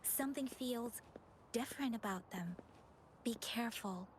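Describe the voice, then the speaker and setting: A woman's voice: fairly high pitch, unhurried, a little hiss or hum, close to an everyday voice. An actress playing an earnest, dutiful young female outrider in a video game, resolute and protective. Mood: wary. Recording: game audio with faint background ambience.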